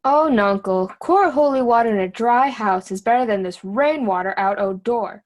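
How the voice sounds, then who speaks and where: A woman plays a witty court fool in a Shakespeare drama. The voice is fairly high, with a wry, riddling, sing-song delivery. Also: normal speed, lightly acted. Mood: pleading. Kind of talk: theatrical Shakespearean reading with rhymes.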